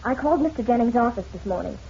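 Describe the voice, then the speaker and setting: A woman's voice: fairly high pitch, animated, racing, gravelly, dark tone. A woman playing a sassy, efficient detective's secretary in an old-time radio drama, teasing and breezy. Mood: brisk. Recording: muffled, noisy old radio recording.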